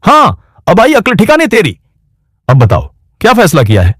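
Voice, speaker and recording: A man's voice: high-pitched, huge pitch swings, moderate pace, a bit bright, breathy edge, no echo, studio quality, pitched above the performer's own voice, heavily acted. A man voicing a villainous senior officer in a storybook tale, taunting and threatening with gloating menace. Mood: gloating threat.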